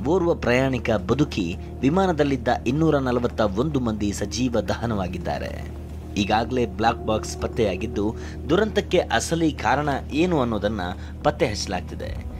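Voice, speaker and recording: A man's voice: mid-range voice, lively intonation, normal speed. A man reads scripted news narration as a voice-over reporter. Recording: loud music bed behind voice. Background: prominent news background music.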